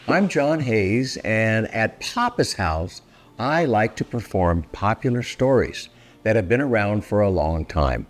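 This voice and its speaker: An older man performing a children's story aloud in a theatrical, deliberate, welcoming manner. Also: lowish voice. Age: 60s or older. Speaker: storyteller and host.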